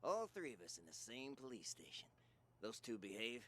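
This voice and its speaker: Brash male gangster, scornful, lively intonation, medium pitch, audio drama. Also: normal speed. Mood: scornful, sly.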